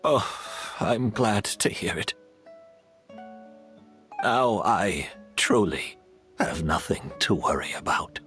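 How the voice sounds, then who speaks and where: A gentle, aging teacher speaks slowly and reflectively, sounding calm and resigned. His fairly low voice has a bright, clear edge, with soft guitar music underneath. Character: gentle aging scholar, a teacher facing death. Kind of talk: game dialogue.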